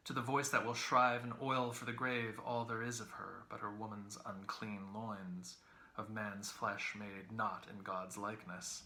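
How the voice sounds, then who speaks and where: Guy reading a literary novel, careful and expressive, bright gravelly voice, roomy sound. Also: fairly low pitch. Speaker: audiobook reader, English professor.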